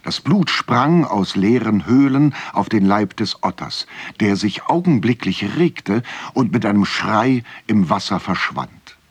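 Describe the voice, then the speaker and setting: An actor playing a grave storyteller, narrating a dark folk legend with measured delivery in a radio drama. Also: male voice, on the low side, expressive, slightly rough, no room sound.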